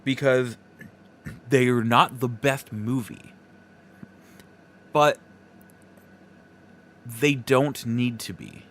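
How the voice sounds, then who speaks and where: A man hosting a podcast, giving casual solo opinions in halting, broken phrases with emphatic stresses. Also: mid-range voice, expressive, slight background noise. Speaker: podcast host.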